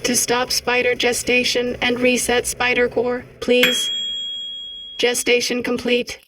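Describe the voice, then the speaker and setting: Monotone female robot announcer, fairly high, deadpan, polite, slightly distant. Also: noisy background. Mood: polite, impassive. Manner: deadpan, measured, impassive. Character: calm female automated system announcing menace politely. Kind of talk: scripted automated announcement in a video game.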